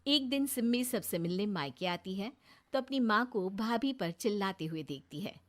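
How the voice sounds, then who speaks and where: A woman's voice: mid-range voice, expressive, moderate pace. A woman narrator reading a scripted moral story for a cartoon.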